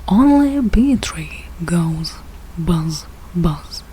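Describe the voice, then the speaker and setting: A woman reads a rhyming children's picture book aloud at an unhurried pace. Her voice is mellow and mid-pitched, and she goes phrase by phrase with deliberate pauses. There is faint noise behind her. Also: animated. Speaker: read-aloud reader learning English. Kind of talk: slow read-aloud of a rhyming children's storybook.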